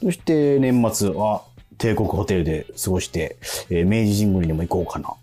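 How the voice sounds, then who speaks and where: A man, hosting radio with casual, animated storytelling. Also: deep voice, moderate pace. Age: 40s. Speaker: radio show host. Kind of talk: casual radio chat with anecdotes. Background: music.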